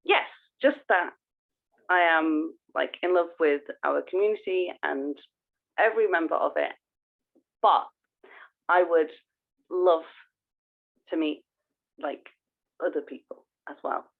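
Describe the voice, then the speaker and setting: A woman's voice: deep voice, slow pace, creaky, pauses often, phone-quality sound. A woman co-hosting a podcast, chatting casually in a playful, informal way. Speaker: podcast co-host. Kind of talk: casual chat.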